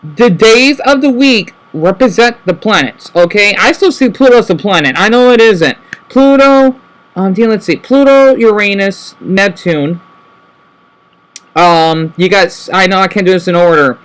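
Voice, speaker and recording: A man with a high voice talks casually to camera and keeps rambling. He corrects himself over and over and tags his points with "right?". He slows right down when he spells out words. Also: a bit bright, smooth, rough recording. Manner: rambling, self-correcting, frequent "right?" tag questions. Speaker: vlogger.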